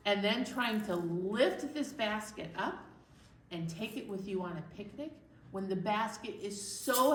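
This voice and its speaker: A woman hosting a storytime for young children, talking in an exaggerated, animated way as if to little listeners. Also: fairly high pitch. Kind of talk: read-aloud storytime presentation with songs. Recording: roomy echo, distant mic, faint noise.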